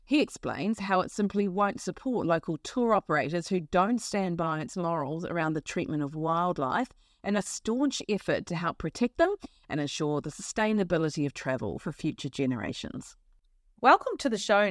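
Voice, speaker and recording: A woman hosting a travel podcast, her voice low for a woman. She asks rambling, friendly questions with many restarts. The recording is dry and close. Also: no echo. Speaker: podcast host.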